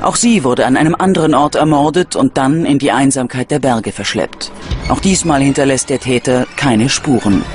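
A man's voice: mid-range voice, normal speed. A man reading scripted narration for a true-crime documentary. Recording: music under the voice. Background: background music.

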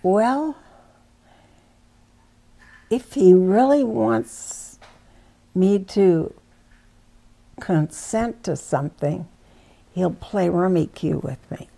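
A woman's voice: lowish voice, very slow, creaky, pauses often. An older woman speaking proudly and wryly about her children and long marriage in a home interview. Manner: warm, proud, gently wry. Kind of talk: reminiscing interview. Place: living room at home.

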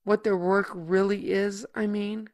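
Slow-spoken, gentle older sister, played by an actress. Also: female voice, medium pitch, level tone, lightly acted. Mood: gentle, serious. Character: caring adult older sister, gentle, grave, patient. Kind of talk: audio drama acting.

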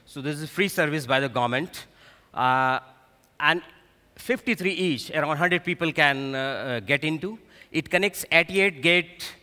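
A middle-aged man with an Indian accent explains technical material from a conference stage. His voice is fairly high and bright, with a halting, explanatory delivery. It rings through a large hall with long reverb. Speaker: technical director presenting at a conference. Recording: echoey large hall PA sound.

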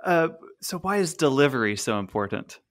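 A man's voice: mid-range voice, sing-song, normal speed, fairly bright tone. A man in his thirties co-hosting a podcast over a remote call, asking questions in an easygoing way and backing his partner with frequent "uh-huh"s. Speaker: podcast co-host. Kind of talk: casual interview chat. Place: small room at home. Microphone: studio mic on stand, remote call.

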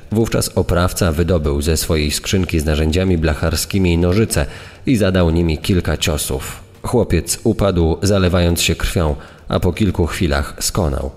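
A man plays a sombre reader of 1930s newspaper crime reports for a true-crime podcast. The voice is low and trails a long reverb. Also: darkish tone. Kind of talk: dramatised archival reading in true-crime podcast.

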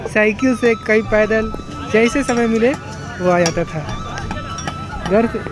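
A man's voice: high voice, slow, over music. A man chatting and teasing casually with friends at an outdoor market. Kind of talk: casual chat.

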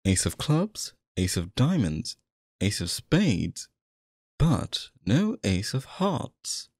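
Man acting a sinister, theatrical stage magician, animated. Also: on the low side, heavily acted. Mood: coaxing, teasing. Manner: theatrical, coaxing, drawn-out phrasing. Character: sinister smooth-talking male stage magician, possessive and courtly. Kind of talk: ASMR roleplay, theatrical acting to the listener.

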